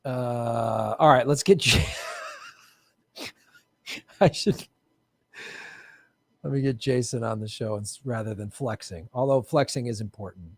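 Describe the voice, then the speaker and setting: A man hosting a live political show from a studio with bright lights, chatting casually and joking in a playful, self-mocking way. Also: medium pitch, measured pace, creaky, pauses often, no room sound. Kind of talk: casual livestream chat.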